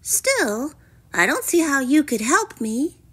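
A pampered little princess for a children's story. The voice is pitched way up and swoops dramatically. She sounds whiny, sulky and full of exclamation. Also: very animated, slow, fairly bright tone, exaggerated voice. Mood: surprised, haughty.

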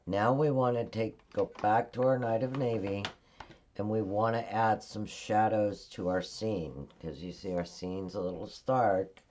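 A woman at her craft table giving a calm, step-by-step card-making tutorial while she works. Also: extremely low pitch, unhurried, a little hiss or hum. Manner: calm, instructive, narrating her hands-on work.

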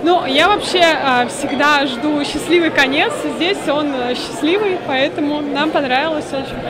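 A woman's voice: high voice, moderate pace, a loud crowd. A woman giving casual answers as an interviewed audience member in a cinema foyer. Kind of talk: casual vox-pop answers. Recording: crowded hall, people talking behind.

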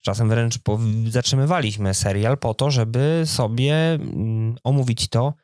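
A man's voice: medium pitch, unhurried, darkish tone, no room sound, clean studio sound. A man co-hosting a podcast, talking in a casual, informal conversational way. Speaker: podcast co-host.

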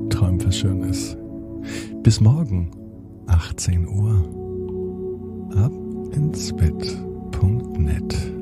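A man narrating a scripted bedtime story for children in a husky, breathy, unhurried voice as a storyteller. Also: low voice. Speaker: storyteller and podcast host.

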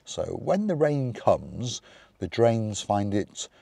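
A middle-aged man hosting a vlog in casual chat, giving cheerful shout-outs and calling viewers by playful mock noble titles. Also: lowish voice, very animated, slow, gravelly, dry recording. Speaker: vlog host.

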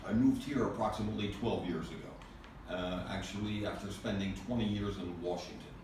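A man's voice: sits low, fairly quick, darkish tone. A middle-aged American man from New England, speaking informally as he hosts a meeting, with a joking manner. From New England, USA. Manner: joking, teasing. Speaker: think tank director hosting a meeting. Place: small office meeting room. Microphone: distant camera mic. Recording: echoey, noisy room, far mic.

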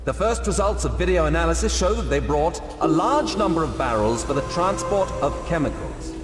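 Man acting a lively commander in echoing hall. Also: on the high side, a little fast, lightly acted. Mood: urgent, official. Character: brisk male squad commander briefing his men. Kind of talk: mission briefing in a video game. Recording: echoing hall, music underneath.

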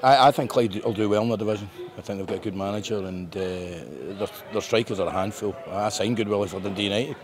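Low-voiced Scottish manager over crowd noise. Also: male voice, quick pace, hardly any pauses. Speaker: football manager. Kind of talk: post-match interview. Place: bare indoor room with painted brick walls.

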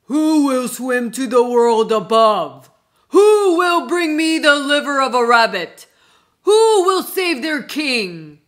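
A woman voicing an ailing, imperious male sea Dragon King in a grand, slow, commanding manner. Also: sits high, animated, voice pushed up. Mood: imploring, loud.

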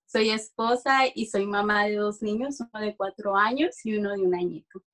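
Halting young Mexican woman. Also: mid-range voice, fairly bright tone. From Durango, Mexico. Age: young adult. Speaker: interview guest giving a testimonial. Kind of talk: conversational personal testimony. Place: small room at home, video call.

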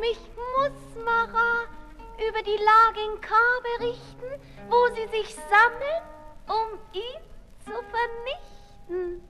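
A woman playing a fragile young female assassin in a 1960s German radio drama, halting and solemn like a sleepwalker. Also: very high voice, bright, forceful tone, heavily acted. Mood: urgent. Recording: 1960s radio recording with music.

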